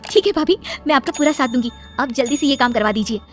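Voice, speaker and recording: High-pitched scheming young daughter-in-law played by a woman. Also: fast talker, soft background music, natural voice. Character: scheming, wheedling young daughter-in-law, indignant when refused. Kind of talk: cartoon story dialogue.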